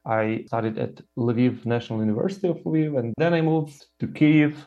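Guest, man, Ukrainian accent, mid pitch, halting with fillers, dull lo-fi line. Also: fairly even intonation, dark tone. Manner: halting, with fillers and repeated words. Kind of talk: conversational interview answers. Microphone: video call.

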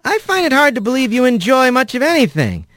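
A man's voice: very high voice, big pitch swings, fairly quick, fairly bright tone, no room sound, pitched above the performer's own voice, exaggerated voice. Guy voicing a blunt rat dude, bouncy voice, dry comebacks. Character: blunt, wry male rat hero.

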